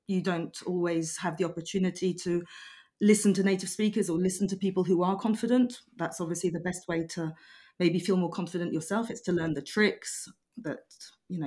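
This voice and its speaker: Woman, English teacher, podcast guest, measured, instructive, lowish voice. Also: a little mellow. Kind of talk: advice in a podcast conversation.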